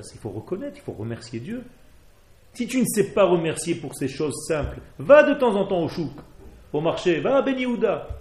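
A man's voice: medium pitch, a little mellow, roomy sound. A man from Israel with an Israeli accent and Hebrew as his first language, fervently exhorting a religious class. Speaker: rabbi. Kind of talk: Torah class.

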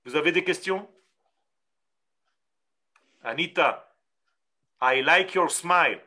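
High, bright-voiced Israeli rabbi teaching. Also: male voice. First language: Hebrew. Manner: reads Hebrew lines slowly, then explains them emphatically. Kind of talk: teaching a class.

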